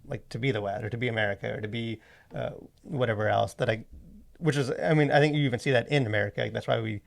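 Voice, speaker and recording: A male podcast host chats casually in a medium-pitched voice with noticeable vocal fry. His delivery rambles and stops and starts, padded with plenty of filler words as he works through each thought. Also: fast.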